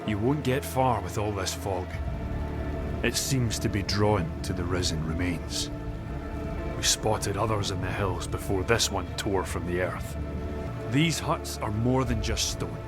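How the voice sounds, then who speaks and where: A gruff, proud older hillman from a fantasy game , acted by a man. His voice sits low and his manner is wry. Music plays clearly behind him with rain. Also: a little slow, close to an everyday voice. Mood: wry, grave. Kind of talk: video game dialogue acting.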